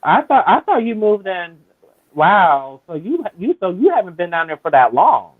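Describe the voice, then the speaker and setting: A woman's voice: lowish voice, sing-song, moderate pace, no room sound. Chatty woman on a tinny line. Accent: South Carolina and Syracuse. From South Carolina. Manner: chatty, repeats herself, trails off. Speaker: guest on a group chat. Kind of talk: casual group chat. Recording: tinny phone-line sound.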